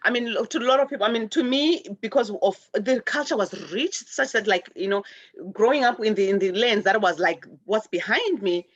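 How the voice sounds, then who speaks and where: A woman's voice: on the high side, fairly quick, no room sound, muffled recording. A woman host from Zimbabwe, rambling loosely in a spiritual chat. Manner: rambling, laughs through her words.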